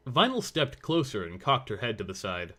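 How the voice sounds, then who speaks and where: A man's voice: medium pitch, very animated, moderate pace, sharp tone, breathy edge. A man reading scripted story narration as the narrator of a fan fiction audio drama.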